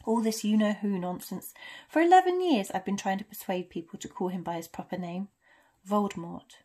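A woman voicing a gentle, whimsical male wizard professor from a storybook, mild, courteous and unhurried. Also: mid-range voice, lightly acted. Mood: calm, reasonable. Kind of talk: storybook character reading.

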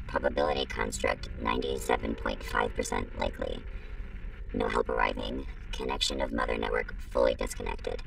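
A woman voicing a lone, failing war robot in clipped status reports, with a pitch-lowered machine effect, in a science-fiction audio story. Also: recorded from a distance, heavily acted, poor audio. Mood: bleak, failing. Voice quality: deep, gravelly, pitched-down voice.

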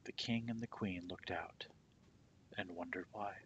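A man tells a children's story in a low voice at a very slow pace. His phrasing is deliberate and dramatic, easing into soft endings. There is a slight rumbling noise in the recording. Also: steady tone, dull audio. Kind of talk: children's picture book read-aloud.

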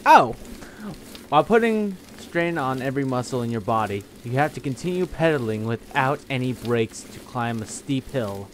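A young man hosting a game playthrough, chatting casually and reading the game's text aloud in a steady voice. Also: medium pitch, normal speed, a little hiss or hum. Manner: reads text in a flat steady voice, breaks into laughter. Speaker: let's play host.

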